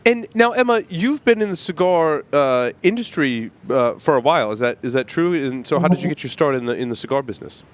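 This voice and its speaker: A man hosting a live-streamed talk show. He chats and asks questions in a lively way. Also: a little high, fairly quick, few pauses. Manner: chatty, animated questioning. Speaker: show host.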